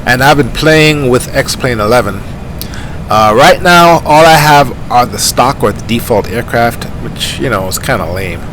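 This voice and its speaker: A middle-aged man from Jamaica with a light Jamaican accent, chatting casually and rambling with plenty of fillers while flying a simulator. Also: measured pace. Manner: rambling, easygoing, many fillers. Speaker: flight sim streamer. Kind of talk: casual gameplay commentary. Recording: steady aircraft engine rumble behind.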